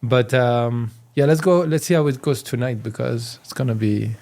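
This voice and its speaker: A man's voice: medium pitch, moderate pace. A man with a French accent chats casually as a podcast guest. He tells stories with energy and acts out the voices of people he quotes. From France. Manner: animated storyteller, acts out quotes. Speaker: comedian guest.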